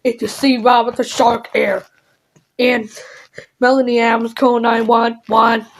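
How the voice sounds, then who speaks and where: A woman reading out an improvised mock emergency alert in a halting, deliberate way, with stumbles. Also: a little high, level tone, vocal fry, a little hiss or hum, low-quality recording.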